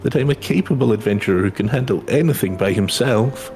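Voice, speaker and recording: A man's voice: lowish voice, lively intonation, brisk, a little mellow, faint music behind. A man voicing an insecure young adventurer from a fantasy game, hesitant, earnest and polite. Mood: earnest, brooding. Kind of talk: reading game dialogue aloud in character.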